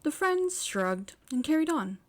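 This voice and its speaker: A woman narrates fiction in a fairly high voice. She reads from a script in the manner of an amateur audiobook. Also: very animated, measured pace.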